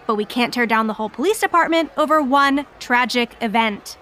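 An actress playing a self-righteous, defensive pro-police counter-protester, speaking emphatically in an audio drama street interview. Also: female voice, on the high side, brisk, fairly bright tone, slight background noise, lightly acted. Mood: earnest, defensive. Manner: emphatic, indignant.

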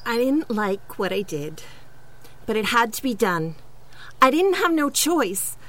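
Deadpan, higher-voiced scheming girlfriend. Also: unhurried, slight background noise. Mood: unrepentant confession. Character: deadpan, self-justifying woman, superhero's scheming girlfriend. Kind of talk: confessional monologue in comedic audio drama.

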